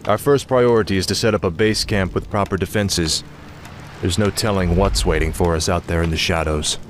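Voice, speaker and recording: Man acting a low-voiced commanding young prince. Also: moderate pace, slight background noise, close to an everyday voice. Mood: resolute. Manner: commanding, clipped, confident. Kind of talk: video game cutscene acting.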